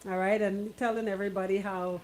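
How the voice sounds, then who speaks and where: A woman's voice: mid-range voice, little pitch movement, a little fast, a little mellow, rough recording. A woman with a Caribbean accent hosting radio with casual, playful, teasing banter. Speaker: radio host. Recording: clear background noise.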